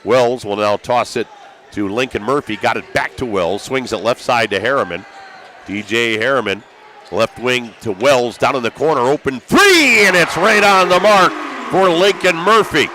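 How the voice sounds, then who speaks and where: A man's voice: mid-range voice, moderate pace, pushed and bright. A man calling live basketball play-by-play as a sports broadcaster. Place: high school basketball gym. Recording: crowd and gym noise behind. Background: gymnasium crowd, bouncing basketball.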